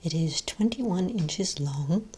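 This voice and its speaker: A woman speaking in a soft, breathy near-whisper, playing a friendly magic shop owner in a gentle, rambling ASMR role play. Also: fairly low pitch, animated, slow pace.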